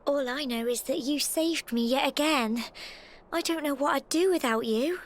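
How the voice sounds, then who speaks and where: A woman playing a spirited, warm-hearted young village heroine in a video game cutscene, with a British accent and an expressive, plucky delivery. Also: sits high, normal speed, natural voice. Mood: relieved, earnest.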